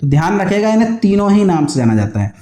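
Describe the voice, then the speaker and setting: A man with a high-pitched voice teaches an exam-preparation lesson in an echoey room. He explains point by point, posing questions and answering them himself. Key terms get repeated so that students can memorise them. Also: big pitch swings, fast talker, mellow. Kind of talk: online history lesson with question and answer drilling.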